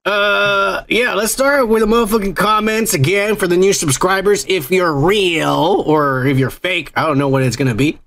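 A man hosting a podcast, his voice sitting a little high for a man. He talks in loose, rambling banter and swears freely. It is casual, unscripted chat. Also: moderate pace, few pauses, a bit bright. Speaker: podcast host.

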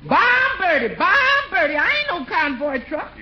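A stubborn, put-upon cook in a 1940s radio comedy. Her folksy complaints come in a high, very animated voice. The old recording is muffled. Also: measured pace, slight background noise, heavily acted. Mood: stubborn, indignant. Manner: folksy, complaining, emphatic repetition. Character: grumbling, put-upon household cook, comically stubborn woman.

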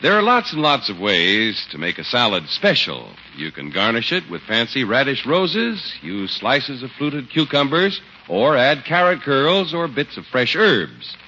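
A man's voice: mid-range voice, very animated, a little slow, few pauses, bright, forceful tone. A man announcing on 1950s radio in a polished, emphatic, scripted style. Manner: polished, emphatic sales delivery. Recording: dull, hissy 1950s radio recording.

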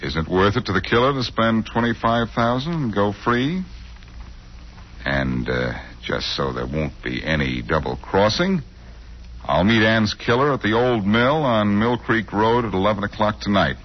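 An actor playing a cocky, quick-witted amateur sleuth who needles people, in 1940s radio style on a muffled old recording. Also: male voice, lowish voice, lively intonation. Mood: cool bargaining. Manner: smooth-talking, sardonic, teasing.

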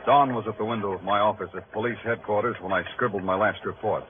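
An actor playing a world-weary, hard-boiled New York police detective in terse, streetwise 1950s radio noir style. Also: male voice, on the low side, steady tone, fast, narrow, tinny audio, slight background noise, natural voice. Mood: weary narration. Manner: terse, clipped, streetwise delivery. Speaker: lead actor and narrator. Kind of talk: 1950s radio crime drama acting, noir narration. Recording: band-limited old radio recording with music.

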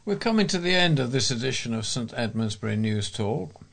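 A man's voice: mid-range voice, big pitch swings, fairly quick, creaky, fairly bright tone. A man with an English accent reading local newspaper items and announcements aloud as a volunteer presenter.

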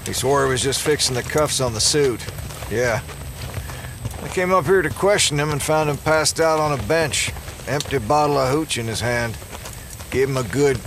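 A man's voice: mid-range voice, normal speed, natural voice. A man playing a practical, plain-spoken small-town sheriff in an audio drama, folksy and matter-of-fact. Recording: clearly noisy outdoor scene ambience.

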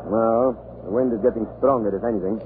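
A man acts a genteel inspector in an old radio play, wry and a little uneasy. The delivery is brisk and official. The sound is narrow and tinny with light hiss. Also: fairly low pitch, natural voice. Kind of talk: 1930s radio drama acting. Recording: scratchy tinny 1930s radio recording.

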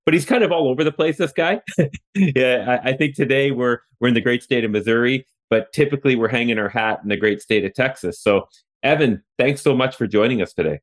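A man's voice: mid-range voice, a little fast. A Canadian man hosting a podcast interview, chatty and loose, full of fillers and restarts. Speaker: podcast host. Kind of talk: conversational interview. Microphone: remote call.

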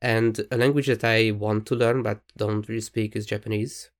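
A Hungarian man co-hosting a podcast in casual chat, speaking English as a second language with a Hungarian accent and often pausing to search for words. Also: fairly low pitch, level tone. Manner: thoughtful, restarts sentences while searching for words. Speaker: podcast co-host.

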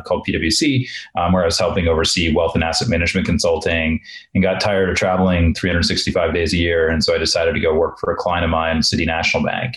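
Very deep, flat-voiced man telling his story. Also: poor audio. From Europe. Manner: rambling, relaxed storytelling with fillers. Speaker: guest, venture fund founder. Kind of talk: conversational podcast interview. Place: home office.

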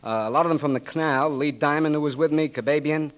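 A man's voice: on the high side, fast, clean tone, natural voice. A man playing a cocky blinded young Marine. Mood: breezy. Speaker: patient. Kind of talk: 1940s radio acting.

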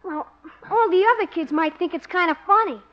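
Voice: very high-pitched, a little fast. A performer playing a polite, reluctant ten-year-old boy in a 1950s radio comedy, earnest and mildly protesting. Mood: sheepish, polite. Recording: dull old radio recording.

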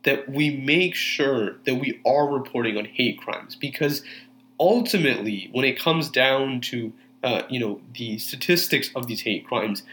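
Casting: young man, earnest and urging, forceful tone, plenty of fillers. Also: medium pitch, expressive, measured pace, a little hiss or hum. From Canada. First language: Urdu. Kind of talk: earnest monologue.

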